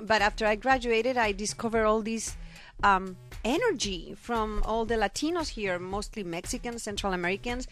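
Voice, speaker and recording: A woman from Spain with a Spanish accent, a guest journalist chatting casually, lively and quick to laugh, often repeating words. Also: medium pitch, faint music behind. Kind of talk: casual chat.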